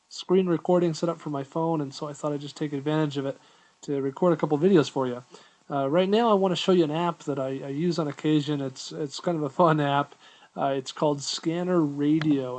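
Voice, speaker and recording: A man's voice: fairly high pitch, a little mellow. An American man presenting a casual app walkthrough in an informal, easygoing, conversational way. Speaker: tutorial presenter.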